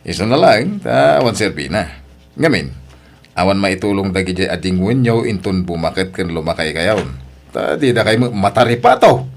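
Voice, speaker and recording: A man's voice: sits low, expressive, normal speed, creaky. A man with an Ilocano accent acting a boastful, needling father in an audio drama, speaking in a smug, meddling way. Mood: smug, taunting. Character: boastful, needling father, proud of his grown child.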